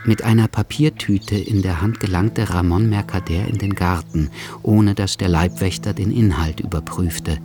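A man's voice: low-pitched, steady tone, normal speed, few pauses. A man reading scripted narration for a radio drama as its narrator. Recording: studio production with music underneath.

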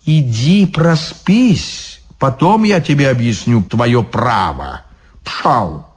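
A man's voice: medium pitch, expressive, slow pace, husky. A man voicing a smug male devil who guards a gate and talks down to people in a sneering, scolding way. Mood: condescending.

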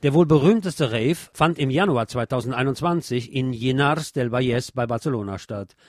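A man's voice: mid-range voice, normal speed, no room sound. A man presenting scripted radio news commentary, with opinionated, wry asides.

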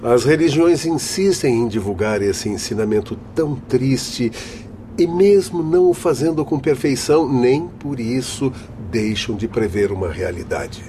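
Stern, learned doctor in a mellow voice played by a man. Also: medium pitch, expressive, measured pace, a little hiss or hum, lightly acted. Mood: instructive. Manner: formal, didactic, emphatic oratory. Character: stern, learned male spirit doctor, admonishing guide. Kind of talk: radio drama acting.

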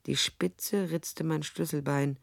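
A woman's voice: deep voice, steady tone, takes it slowly, vocal fry, heavily acted. Dry, hard-boiled female private eye. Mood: tense, dry narration. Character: tough sardonic female private detective narrating her case. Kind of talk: radio drama dialogue and first-person narration.

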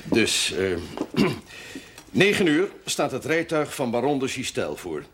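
An actor playing a dry, fussy but fond friend of a chaplain in a seventies television drama, speaking in a clipped, matter-of-fact way. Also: male voice, mid-range voice, very animated, slow, a bit bright, a little hiss or hum. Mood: businesslike. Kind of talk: naturalistic 1970s television drama acting.

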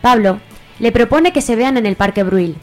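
A woman's voice: on the high side, lively intonation, normal speed, faint music behind. A woman with a Spanish accent, reading scripted narration for a radio soap opera.

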